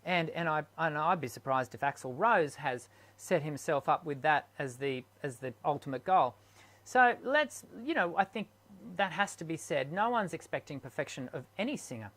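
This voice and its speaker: A man's voice: on the high side, lively intonation, normal speed, fairly bright tone. A man who coaches singers, hosting an unscripted live stream Q&A and talking hesitantly with many ums and restarts.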